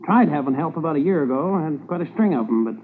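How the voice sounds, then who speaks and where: A folksy small-town store owner played by a man, high and swooping in pitch, rambling and eager to oblige. It is 1950s radio acting on a muffled old recording. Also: animated, fast talker, slight background noise, lightly acted. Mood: puzzled, helpful. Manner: hesitant, rambling, homespun way of talking.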